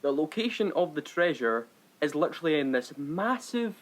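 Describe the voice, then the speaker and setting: A man's voice: fairly high pitch, sing-song, moderate pace. A man co-hosting a film podcast, making critical, reasoned points in casual conversation. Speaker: podcast co-host.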